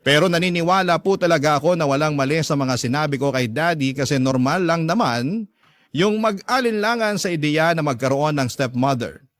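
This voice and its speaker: A man's voice: a little high, no echo, lightly acted. A man playing an aggrieved young letter writer with a Filipino accent, narrating a story earnestly and emphatically. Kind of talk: dramatic first-person story narration.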